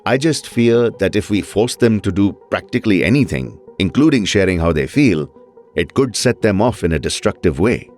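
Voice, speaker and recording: A man playing a calm, fatherly doctor in an audio drama, speaking politely and with careful concern. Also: fairly low pitch, normal speed, faint music behind, natural voice.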